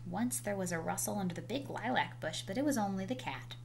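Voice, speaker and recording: A woman reading a children's picture book aloud with animated, dramatic emphasis and drawn-out words. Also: mid-range voice, gravelly. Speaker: storybook reader. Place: indoors, small room at home. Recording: noisy home video recording.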